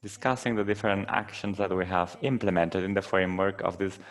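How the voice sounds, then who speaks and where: A man with a Colombian accent who moderates a panel discussion and interprets the speakers into English, in a measured, formal way. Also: sits low, steady tone.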